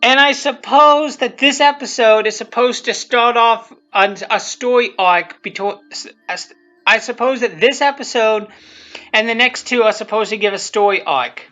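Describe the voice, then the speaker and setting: A person giving rambling, unscripted commentary on their own video, halting and repeating themselves, with blunt, self-deprecating swearing. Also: medium pitch, pushed and bright. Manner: halting, repetitive, often restarting sentences. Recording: muffled lo-fi audio, music behind.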